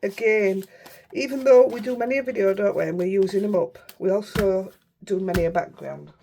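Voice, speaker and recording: A middle-aged woman with a Yorkshire accent, hoarse and unwell, chatting through a casual crafting tutorial in a croaky voice and rambling as she goes. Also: mid-range voice, a little fast, warm tone, a little hiss or hum. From Yorkshire, England. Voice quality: croaky, rough voice. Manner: chatty, rambling, self-interrupting. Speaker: craft channel host.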